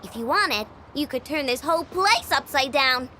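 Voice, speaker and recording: A woman's voice: sits high, expressive, normal speed, pushed and bright, a little hiss or hum. A woman voicing a small, level-headed young girl who takes charge in a video game. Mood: matter-of-fact. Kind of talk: animated video game voice acting.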